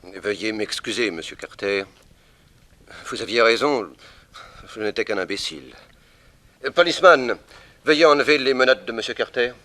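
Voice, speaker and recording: A man acting an authoritative, slightly slow-witted police officer with an official, theatrical manner in 1960s French radio drama. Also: mid-range voice, bright, forceful tone, lightly acted. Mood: chastened.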